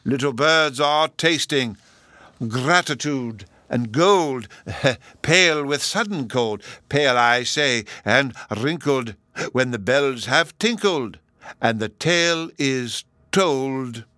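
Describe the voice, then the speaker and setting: A man's voice: medium pitch, lively intonation, slow, sharp tone, no room sound, voice pushed up. A man voicing a befuddled, kindly old professor in a storybook, with a hesitant, singsong delivery. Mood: reciting. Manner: dithering, hesitant, singsong and theatrical.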